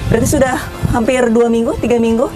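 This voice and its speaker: A woman field reporter speaks in a high voice over clearly audible music. She interviews in a chatty live style, prompting guests with questions and echoing their answers. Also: steady tone, fast. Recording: music playing behind the voice.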